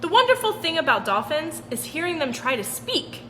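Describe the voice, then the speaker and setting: Lady doing storytime for kids, really lively up and down voice, reverby room w some noise. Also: high-pitched, normal speed. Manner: animated, sing-song storytelling. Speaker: children's storytime reader. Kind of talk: expressive picture-book read-aloud for children. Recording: roomy long reverb with background noise.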